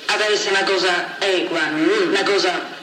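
A man answering in an informal interview, talking in a hesitant, halting way. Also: sits high, steady tone. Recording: very noisy echoey distant archive recording.

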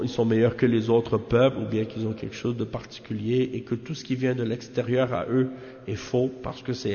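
A man's voice: on the low side, vocal fry, warm tone. A man giving an unscripted religious lesson, explaining didactically and repeating phrases for emphasis. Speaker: religious teacher. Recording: muffled, long hall-like reverb.

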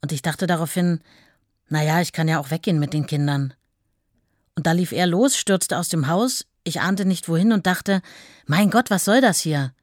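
Female actor for long-suffering writer's wife in radio drama, steady and reflective, very dry studio sound. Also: fairly low pitch, normal speed, dry recording, lightly acted. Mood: troubled recollection. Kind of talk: radio play monologue.

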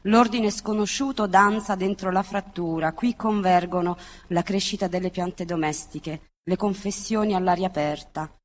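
A woman's voice: fairly low pitch, moderate pace. A woman reading poetry aloud as a literary recitation. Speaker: poet reading own work. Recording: reverberant hall, faint ambient noise.

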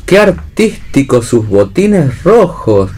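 An actor playing a mocking, melodramatic admirer of vagabonds in a radio play, gushing and drawn-out. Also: male voice, mid-range voice, big pitch swings, mellow. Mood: mock swooning. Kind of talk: radio theatre acting.

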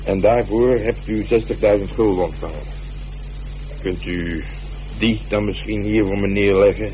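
An actor playing a calm, methodical police inspector, measured and politely firm in a radio drama. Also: male voice, fairly low pitch, a little hiss or hum, close to an everyday voice, low-quality recording. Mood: dry, firm. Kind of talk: naturalistic radio drama acting.

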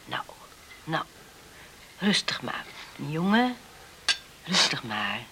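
An actress playing a gentle, devout, middle-aged island mother in a radio drama, soothing and unhurried. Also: sits low, very animated, husky, exaggerated voice.

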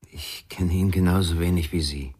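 A guarded investigator in a radio crime drama. The voice sits very low and husky, and he talks in terse statements and questions. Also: steady tone, normal speed, darkish tone. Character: terse, guarded male investigator seeking a missing musician. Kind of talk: 1990s German radio crime drama acting.

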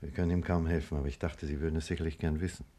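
An actor playing a grave, cautious physician in a 1950s radio drama, speaking in measured, deliberate words. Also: male voice, very deep voice, flat delivery, mellow. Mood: grave, concerned. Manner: measured, deliberate, careful wording. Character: grave, cautious male physician narrating a disaster. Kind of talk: 1950s German radio drama acting and narration. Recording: 1950s radio play with music.

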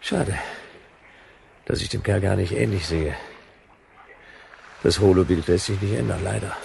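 An actor playing a dry, sardonic private detective in a sci-fi radio play, laconic and ironic. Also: male voice, low-pitched, a little slow, creaky, noisy background, full character voice. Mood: wry.

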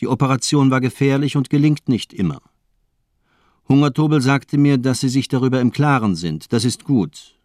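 A man's voice: mid-range voice, normal speed, a little mellow, dry recording, studio quality, lightly acted. A man playing a worldly, composed surgeon with hidden menace in a radio drama, speaking in a polished, formal manner. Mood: smooth, guarded.

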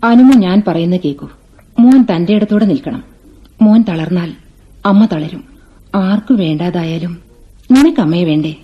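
Radio play: actress as a steadfast, motherly guardian, mid-pitched, lo-fi broadcast sound. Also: lively intonation, normal speed, pauses often, natural voice. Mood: tender. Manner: firm, protective, motherly. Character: lonely, resolute woman guarding the family house. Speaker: radio drama actor. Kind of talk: 1980s Indian radio play acting. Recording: dull old radio recording with faint music.

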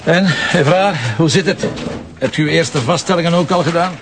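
A man's voice: fairly high pitch, moderate pace, background noise, natural voice. An actor playing a cocky, sardonic Flemish police commissioner in a radio play, needling as he talks.

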